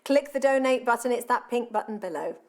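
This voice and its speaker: A woman's voice: a little high, expressive, brisk, a little mellow. A woman hosting an online awards ceremony, reading her script with an upbeat tone. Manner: upbeat, reads out messages.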